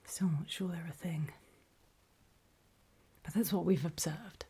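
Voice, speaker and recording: A woman playing a grave, weary apocalypse survivor in a horror ASMR roleplay, speaking in a soft, breathy, hesitant whisper. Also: fairly low pitch, unhurried, heavily acted. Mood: cautioning. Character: grave, weary female apocalypse survivor briefing others on zombies.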